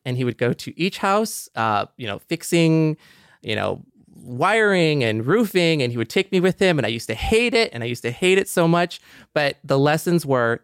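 A man's voice: medium pitch, clear, resonant tone, no room sound, studio quality. A man co-hosting a podcast in a personal, conversational way, reflective and given to storytelling. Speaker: podcast co-host.

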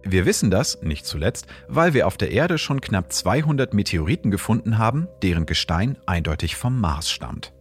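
A man with a very deep voice narrates science explanations for an audio drama podcast. His delivery is lively and varied, with a faint bed of music under him. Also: normal speed, few pauses. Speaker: podcast host and astrophysicist.

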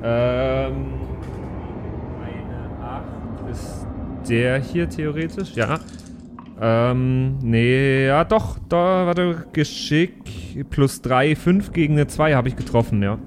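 A man's voice: medium pitch, slow pace. A man talking casually as a player in an improvised tabletop role-playing game. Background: background music.

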